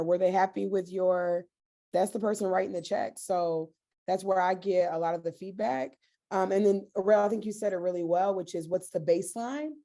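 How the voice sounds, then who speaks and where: Mellow-voiced woman giving practical advice. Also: mid-range voice. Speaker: participant on a training call. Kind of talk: group call advice.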